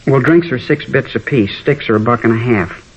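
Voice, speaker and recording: An actor playing a troubled, hesitant high school boy who cooperates as a witness in a 1950s radio drama. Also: moderate pace, husky. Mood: forthcoming. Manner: hesitant, slangy. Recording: muffled vintage radio recording.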